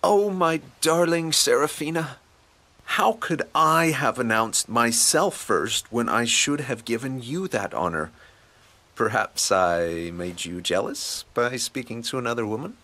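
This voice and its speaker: Vain, flamboyant flirt, bright and swooping. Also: male voice, mid-range voice, animated, a little slow. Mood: smug flirting. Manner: flowery, smug, theatrical wooing. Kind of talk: video game voice acting.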